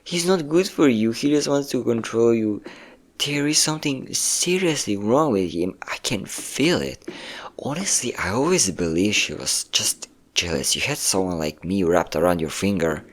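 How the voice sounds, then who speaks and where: A man's voice: fairly low pitch, animated, moderate pace. A man playing a possessive, manipulative ex-boyfriend in a dramatic ASMR roleplay, coaxing with pet names and sweet-talking menace. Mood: mocking, obsessive. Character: possessive, manipulative yandere ex-boyfriend hunting his hidden partner.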